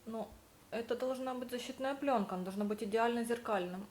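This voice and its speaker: A young woman chats through her day as a vlogger, her voice sitting a little high. She tells stories and acts out the dialogue she quotes. A faint hum of noise runs underneath. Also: a little fast, darkish tone. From Russian-speaking, living in Spain. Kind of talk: casual vlog narration.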